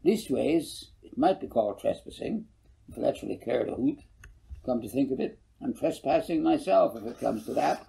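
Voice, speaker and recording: A man's voice: mid-range voice, expressive, normal speed, vocal fry, dark tone, low-quality recording. A man voicing a folksy, good-humored older farmer who rambles and corrects himself, in a storybook reading. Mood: folksy, rambling. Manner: rambling, homespun, self-correcting. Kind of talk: audiobook character voice.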